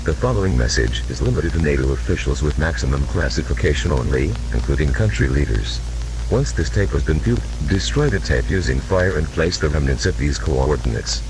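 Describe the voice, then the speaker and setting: A man voicing a grave, very deep secret-briefing announcer. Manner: formal, measured, commanding. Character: grave male official reading a secret military briefing. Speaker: announcer of a classified briefing tape. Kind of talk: scripted amateur audio drama. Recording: noisy tape with steady hum.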